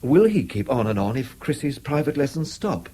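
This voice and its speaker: Husky, anxious English family man. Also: medium pitch, big pitch swings, normal speed, dark tone. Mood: uneasy, pleading. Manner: hesitant, deferential, repeats words. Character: anxious, apologetic middle-aged family man, timid but persistent. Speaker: actor in a radio play. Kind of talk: 1970s British radio drama acting.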